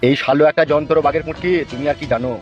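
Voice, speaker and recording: Cartoon village strongman, played by a man: threatening, blustering, brisk delivery, dull lo-fi sound. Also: on the high side, fairly even intonation, soft background music, lightly acted. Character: menacing, foul-mouthed village strongman and worried husband.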